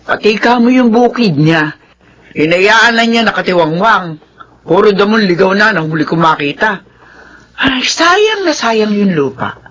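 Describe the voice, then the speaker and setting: Casting: chatty, exasperated Filipino neighbour in radio drama, high register, very lively intonation. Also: male voice, measured pace, full character voice. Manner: animated, gossipy.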